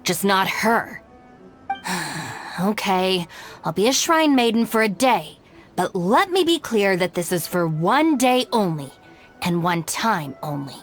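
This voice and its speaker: A woman's voice: mid-range voice, sing-song, slow. A woman playing a blunt, exasperated, no-nonsense young woman in a video game, with a dry way of talking. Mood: reluctant, blunt. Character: young woman gang deputy, no-nonsense, exasperated, reluctantly responsible. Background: music.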